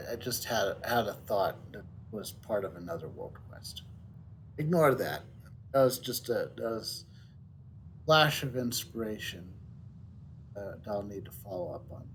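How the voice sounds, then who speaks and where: A man giving casual gameplay commentary, musing haltingly as he goes. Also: fairly low pitch, expressive, a bit bright, a little hiss or hum. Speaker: game streamer.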